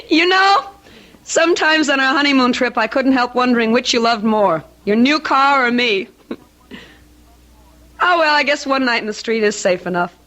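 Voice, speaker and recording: An actress playing an emotional, melodramatic newlywed wife in an old-time radio mystery. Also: female voice, high voice, normal speed, sharp tone, dull audio. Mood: anxious, affectionate. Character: frantic newlywed wife insisting her husband was murdered. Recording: old radio drama with music behind.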